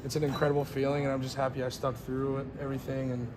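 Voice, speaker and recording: A young college football player answering reporters after a game in a crowded weight room, earnest and grateful, with many fillers. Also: male voice, medium pitch, completely flat delivery, fast, noisy background. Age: young adult. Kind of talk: postgame media scrum. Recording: crowd chatter behind.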